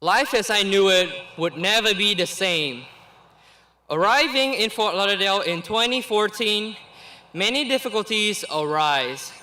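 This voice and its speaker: A high, bright-toned young man reads out a graduation speech. He takes his time, giving each phrase a slow and careful weight. His delivery is earnest, with a quick laugh now and then. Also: animated, slight background noise, low-quality recording. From Vietnam. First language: Vietnamese. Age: late teens. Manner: earnest and deliberate, with small laughs between phrases. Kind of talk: reading a prepared commencement speech.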